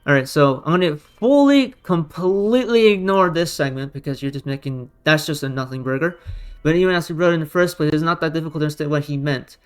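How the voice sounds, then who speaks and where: Clear-voiced man arguing in exasperated commentary. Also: fairly high pitch, fairly quick, soft background music. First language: Romanian or Russian. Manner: exasperated, combative, emphatic with repeated rhetorical questions. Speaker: online video commentator. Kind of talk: argumentative response commentary.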